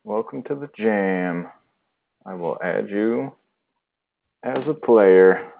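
A man's voice: fairly low pitch, animated, slow pace, creaky. A man hosting an online improv session, chatty and welcoming to new players. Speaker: host of an online improv game. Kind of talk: casual voice-chat hosting. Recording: tinny voice-chat line.

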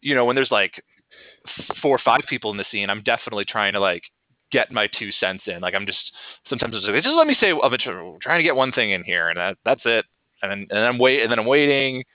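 A man hosting a casual podcast about improv who talks in an animated, rambling way with frequent restarts. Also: medium pitch, fast talker, dry recording. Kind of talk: casual chat. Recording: tinny call audio, dry.

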